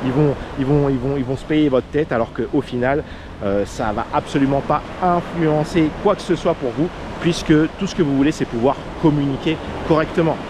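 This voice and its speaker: A man's voice: fairly high pitch, a little fast. A man from France with a French accent, giving casual advice as a vlogger in an earnest way, with many fillers. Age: under 40. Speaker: vlogger, expat coach. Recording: outdoor noise of waves and traffic.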